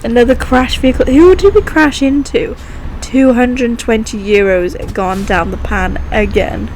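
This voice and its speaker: A young woman with a croaky sore throat chatting casually and upbeat while playing a driving game, with faint vehicle sounds behind her. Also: fairly high pitch, measured pace, a little mellow, soft background music, low-quality recording. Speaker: gaming channel host. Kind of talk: casual gameplay commentary.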